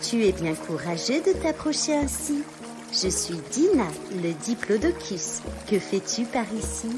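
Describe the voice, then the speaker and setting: A woman voicing an enormous, gentle female diplodocus, calm and friendly, in a children's storybook tale. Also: a little high, measured pace, over music.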